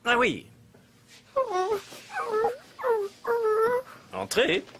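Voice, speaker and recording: An actor voicing a gruff, impatient male veterinarian in a French children's cartoon, brusque and theatrical. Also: medium pitch, huge pitch swings, measured pace, background noise, full character voice. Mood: curt. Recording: music bed behind voice.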